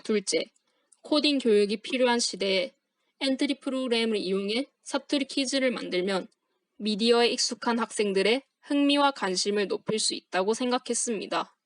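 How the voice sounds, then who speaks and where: A young woman, a university student, reading a formal project presentation aloud in a steady, scripted manner. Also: on the high side, normal speed, no room sound. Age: young adult.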